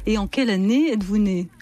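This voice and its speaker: Radio interviewer, woman, mid-pitched, poised and literary. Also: warm tone. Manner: measured, literary phrasing. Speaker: radio host.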